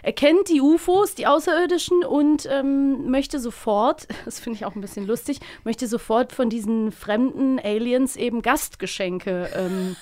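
Woman podcast host, kinda high voice, just chatting casually. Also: a little slow, hardly any pauses, a little mellow.

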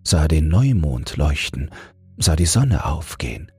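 Very husky, slow male bedtime narrator. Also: extremely low pitch, big pitch swings. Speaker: audiobook narrator. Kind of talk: bedtime fairy tale narration. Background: soft music.

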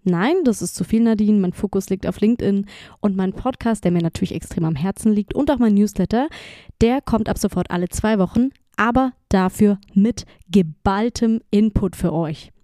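Mellow, congested woman hosting a podcast. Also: mid-range voice, normal speed, dry recording, clean studio sound. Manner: informal, addresses listeners directly, mixes in English words. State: slightly congested from a cold. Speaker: podcast host. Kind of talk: casual solo podcast talk with tips.

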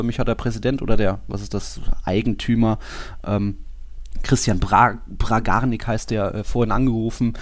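A man hosting a football podcast, talking conversationally in long, self-interrupting runs. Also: medium pitch. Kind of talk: conversational sports discussion.

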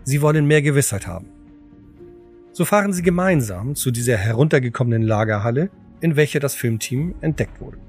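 Man, middle pitch, dramatic scripted narration, audio drama storyteller, music behind. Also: darkish tone.

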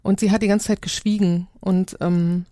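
Woman on a panel telling about her project, normal pitch, searching for words, really dry sound. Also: flat delivery, moderate pace, dark tone, no echo. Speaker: guest, author of an audio documentary project. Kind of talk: spontaneous first-person account in panel discussion.

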